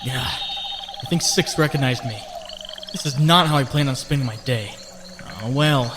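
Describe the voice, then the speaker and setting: A man's voice: medium pitch, measured pace, natural voice. A man playing a young wisecracking superhero photographer in a comic book dub, sarcastic and exclamatory. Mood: sarcastic, musing. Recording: clearly noisy background.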